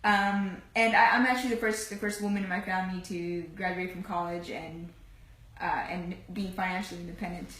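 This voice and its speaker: A woman's voice: on the low side, brisk. A woman in her twenties from Houston, answering interview questions casually and agreeably. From Houston, Texas, US. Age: 20s. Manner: relaxed, agreeable, many "yeah"s. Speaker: guest. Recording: echoey room.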